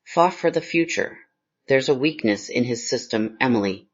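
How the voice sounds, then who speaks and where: A man's voice: medium pitch, expressive, normal speed, natural voice. A man playing a grave, fearful investigator mother in a screenplay reading. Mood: pleading, regretful. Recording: slightly dull, lo-fi sound.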